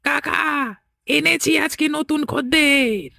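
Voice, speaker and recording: Woman as possessed cartoon child, extremely high, sharp bright tone, eerie, insistent, craving flesh. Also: slow, creaky, dry recording, studio quality, heavily acted. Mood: eerie, luring. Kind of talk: horror cartoon voice acting.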